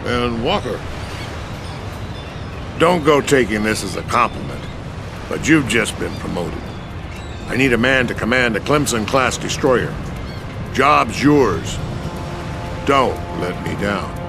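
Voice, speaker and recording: An actor playing a gruff, blunt older American admiral in a war game cutscene. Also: male voice, mid-range voice, expressive, slow pace, pauses often, over music. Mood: grudging, stern. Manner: blunt, commanding, sardonic. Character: stern, gruff older male US Navy admiral. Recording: noisy ambience behind.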